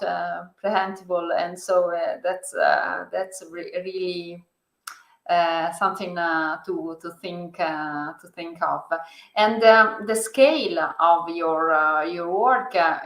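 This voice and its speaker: A woman hosting a live public talk, speaking haltingly with frequent pauses and fillers. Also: lowish voice, fairly bright tone. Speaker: event host and interviewer. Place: museum hall. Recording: echoey hall.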